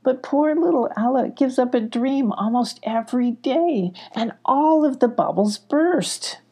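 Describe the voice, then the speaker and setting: Woman as Sylvia, mellow reassuring storybook advisor. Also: sits high, animated, a little slow, voice pushed up, heavily acted. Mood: calm, explanatory.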